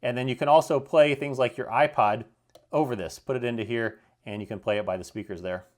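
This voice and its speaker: Man, medium pitch, product reviewer, unscripted demonstration, plain-spoken, explanatory, slightly halting. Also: steady tone.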